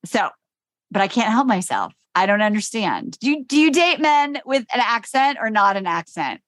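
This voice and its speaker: A woman's voice: a little high, sing-song, moderate pace, fairly bright tone, no room sound. Bubbly, chatty woman podcast host. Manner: bubbly, chatty, swears casually. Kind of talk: casual interview chat.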